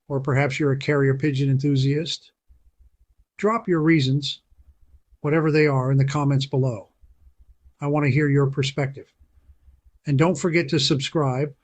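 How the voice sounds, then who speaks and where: A man who hosts a channel, giving a punchy, emphatic scripted narration with dramatic pauses. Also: mid-range voice. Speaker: channel host.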